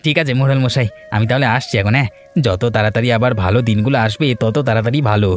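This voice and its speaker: A man's voice: mid-range voice, very fast, soft background music, lightly acted. A man voicing a friendly, eager village man in a cartoon, chatty and helpful. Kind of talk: cartoon voice acting.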